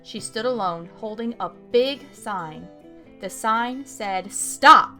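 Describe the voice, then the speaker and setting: A woman's voice: medium pitch, slow, a bit bright, soft background music. A woman hosting a children's story time, reading aloud expressively and explaining things simply to young listeners.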